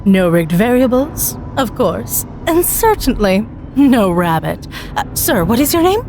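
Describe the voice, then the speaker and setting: A woman voicing a sly male stage magician in a story, with theatrical, formal, drawn-out patter and menacing politeness. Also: on the high side, big pitch swings, a little mellow, slight background noise. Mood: smooth, menacing. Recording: music bed behind the voice.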